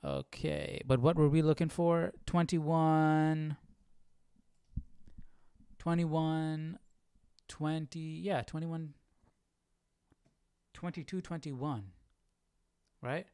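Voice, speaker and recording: A man's voice: a little high, steady tone, extremely slow, pauses often, mellow, clear, resonant tone, dry recording, studio quality. A man hosting a casual Bible study livestream, thinking aloud in a musing, questioning way. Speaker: host of a live Bible study.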